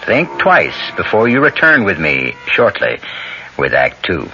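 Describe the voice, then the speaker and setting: Horror show host: theatrical man, ominous and very varied in pitch, muffled old radio sound. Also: huge pitch swings, unhurried, bright, forceful tone, a little hiss or hum. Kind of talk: old-time radio scripted hosting. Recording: dull vintage radio with music.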